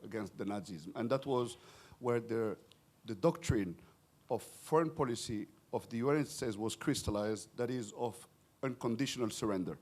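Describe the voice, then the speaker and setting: Man, audience question at a policy conference, hesitant, lots of uh's, mid pitch. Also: a little mellow. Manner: halting, many fillers and restarts. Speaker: audience member asking a question. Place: conference hall.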